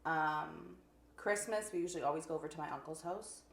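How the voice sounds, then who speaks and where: Chatty woman in her twenties, low voice. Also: fairly quick, creaky. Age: 20s. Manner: relaxed, chatty, lots of fillers. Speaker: co-host. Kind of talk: casual chat. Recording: echoey room with faint noise.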